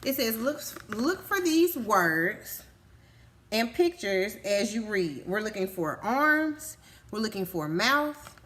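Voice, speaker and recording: A woman's voice: medium pitch, big pitch swings, slow, fairly bright tone. A woman reading a picture book aloud to young children as a teacher, explaining simply and often stopping to ask check-in questions. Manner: instructive, simple child-directed phrasing with frequent check-in questions.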